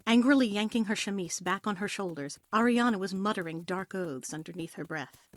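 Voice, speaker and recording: A woman narrating an audio play as an expressive scripted storyteller. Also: medium pitch, fairly quick, dry recording, studio quality.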